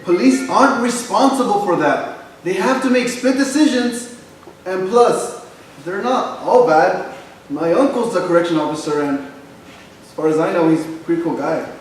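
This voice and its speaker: A man's voice: sits high, moderate pace, faint music behind, natural voice. A man playing a skeptical young guy who casually argues in defense of police in a staged play reading. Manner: casual, argumentative. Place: theater hall, recorded from the audience. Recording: roomy, distant stage recording with faint noise.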